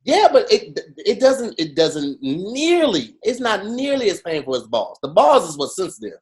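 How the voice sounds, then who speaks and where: A man co-hosting a podcast, joking around in an animated, profane way. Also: high-pitched, normal speed. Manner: animated, profane, jokey storytelling with mocking quoted voices. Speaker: podcast co-host. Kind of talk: casual comedic chat.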